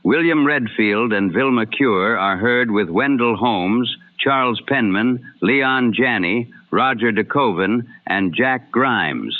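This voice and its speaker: A man's voice: medium pitch, slow pace, hardly any pauses. Male narrator with narrow-band radio sound. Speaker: announcer. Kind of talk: scripted introduction narration.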